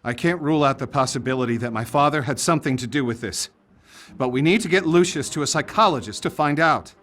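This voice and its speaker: A man's voice: a little high, fast, fairly bright tone, natural voice. An actor playing a troubled middle-aged father in a video game cutscene, speaking in an earnest, uneasy way. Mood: worried. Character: troubled, worried father investigating his family's occult secrets. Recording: music under the voice.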